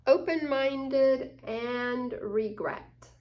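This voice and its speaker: A woman reading a picture book aloud to children as a story time host, expressively and deliberately, explaining things simply. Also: high voice, darkish tone. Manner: slow, deliberate, acting out quoted lines. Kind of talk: expressive children's read-aloud with asides to listeners.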